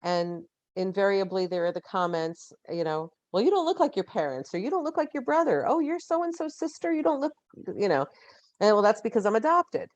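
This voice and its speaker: A woman in her fifties, a guest on a podcast interview, talking in an earnest, reflective way and pausing to find her words. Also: mid-range voice, expressive, a little mellow, no room sound. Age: 50s. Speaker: guest, genetic genealogist.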